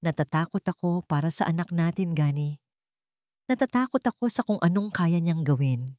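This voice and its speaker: A woman's voice: on the low side, normal speed, darkish tone, no room sound, clean studio sound, close to an everyday voice. Woman acting scheming, falsely fearful woman. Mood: feigned tearful fear. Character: scheming woman feigning tearful fear, manipulative.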